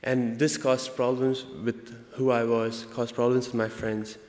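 A man's voice: medium pitch, little pitch movement, a little mellow. Echoey young Indian-accented man giving a speech. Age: young adult. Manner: earnest, halting with self-corrections. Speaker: student speaker. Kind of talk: prepared personal speech. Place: large indoor hall, convocation. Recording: echoey hall with long reverb.

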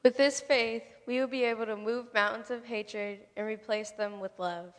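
A woman's voice: a little high, steady tone, normal speed. A woman reciting oratory theatrically as a reader in a staged presentation. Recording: echoing hall with long reverb.

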